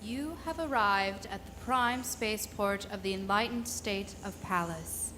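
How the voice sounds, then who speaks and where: A woman's voice: mid-range voice, a little slow, fairly bright tone, close to an everyday voice. A woman playing a formal spaceport announcer giving official orders in a radio drama, in a reverberant hall. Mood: formal, commanding. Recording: reverberant hall, faint noise.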